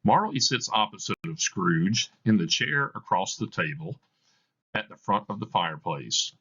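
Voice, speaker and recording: A man reading stage directions aloud as scripted narration, with measured, deliberate phrasing. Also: fairly low pitch, lively intonation, sharp tone, husky, dull audio. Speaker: reader of a play for students.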